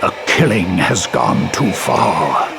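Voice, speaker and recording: An actor playing a grim, weary gunman hero in a video game, terse and gravelly. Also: low-pitched, very animated, takes it slowly, music in the background, heavily acted. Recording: very noisy game scene.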